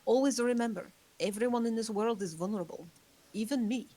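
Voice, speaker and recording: A woman reading a calm ancient vampire. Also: fairly high pitch, a little fast, lightly acted. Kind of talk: amateur table read. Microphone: online voice call.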